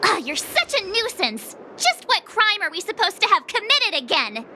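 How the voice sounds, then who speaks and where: A woman's voice: very high voice, very animated, normal speed, sharp tone, a little hiss or hum, full character voice. An actress playing a perky, cheeky teenage girl adventurer in a video game, bubbly, teasing and easily annoyed. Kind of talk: English game voice acting.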